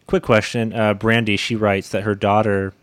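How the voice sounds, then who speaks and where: A man with a fairly low voice hosts a casual interview. His questions wander and hesitate as he phrases them, and the sound is dry with no room echo. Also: little pitch movement, moderate pace. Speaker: interview host.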